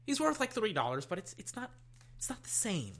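A young adult, slightly hoarse, chatting casually while opening card packs at a desk, swinging between loud hype and low muttering and swearing freely. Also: huge pitch swings, fairly quick. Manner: swears casually, trails off and restarts. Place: at a desk indoors.

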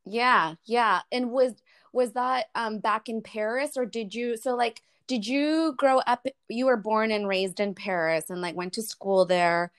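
A woman's voice: on the high side, a little slow, smooth. Gushing woman interviewer, many ums. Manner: gushing fan, hesitant with ums. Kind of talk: casual interview.

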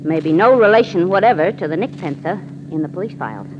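A woman's voice: lowish voice, lively intonation, fast talker, close to an everyday voice. Dry-witted radio gal sidekick, played by a woman. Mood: sceptical. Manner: wry, sensible, teasing. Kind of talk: old-time radio drama acting. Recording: muffled, noisy old radio recording.

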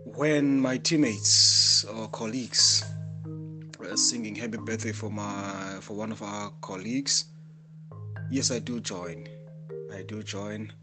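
A man contributing a recorded answer, speaking in a measured way with hesitant fillers and repeated points. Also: fairly low pitch, fairly even intonation, music in the background.

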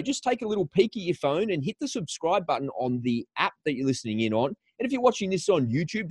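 A man's voice: on the high side, darkish tone, no echo. A man hosting a podcast, giving an enthusiastic, promotional introduction and interviewing a guest. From Australia. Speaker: podcast host.

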